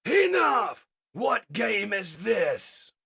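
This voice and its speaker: A man voicing an enraged, tyrannical robot warlord in an audio comic, bellowing drawn-out, imperious demands. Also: high voice, wildly swooping pitch, gravelly, bright, forceful tone, slightly rough, voice pushed up, exaggerated voice. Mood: furious, defiant. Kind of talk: audio comic dub villain acting.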